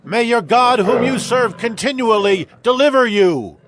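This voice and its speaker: A man's voice: very high-pitched, animated, a little slow, background noise. A man playing a formal, troubled king with a regal, declamatory delivery in a dramatized scripture production. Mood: anguished. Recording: music bed behind the voice.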